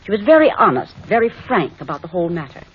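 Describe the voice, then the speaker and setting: Proper, expressive adoption home matron. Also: female voice, medium pitch, fast talker, breathy edge. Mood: frank, sympathetic. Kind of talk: old time radio drama acting. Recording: muffled, noisy old radio recording.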